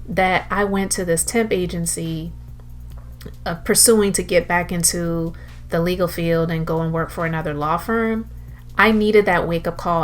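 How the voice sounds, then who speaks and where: A woman telling a personal motivational story in an earnest way, with deliberate, emphatic repetition. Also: fairly low pitch. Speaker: entrepreneur telling her story. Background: background music.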